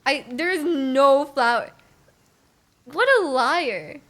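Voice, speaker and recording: High-voiced, excitable woman live streaming games. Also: extremely slow. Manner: excitable, repeats phrases. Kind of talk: casual live stream chat while playing. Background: game music.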